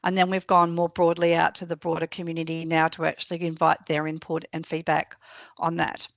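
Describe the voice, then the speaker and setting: A woman from a local council answering webinar questions over a video call, with a croaky voice and a hesitant way of speaking. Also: sits low, completely flat delivery, brisk, no room sound. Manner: hesitant, many fillers and restarts. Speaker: council staff presenter.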